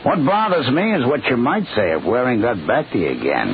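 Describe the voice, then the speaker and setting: A man acting a smooth-talking gangster in a 1940s-style radio mystery, falsely sweet and threatening. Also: medium pitch, huge pitch swings, fairly quick. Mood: quietly menacing. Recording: tinny, noisy old-time radio recording.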